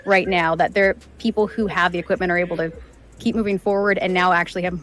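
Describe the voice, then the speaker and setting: A woman chatting casually as a voice actor guest, telling stories in an animated way. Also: on the low side, quick pace. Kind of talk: casual interview chat.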